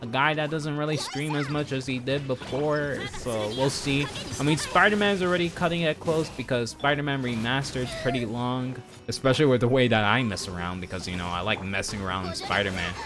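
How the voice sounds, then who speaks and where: Male game streamer, mid-pitched, informal and opinionated, rambling off the cuff over clearly audible music. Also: steady tone, a little fast, few pauses. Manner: opinionated, informal, exasperated ranting. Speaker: game livestreamer. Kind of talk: casual rambling stream chat about games. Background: background music.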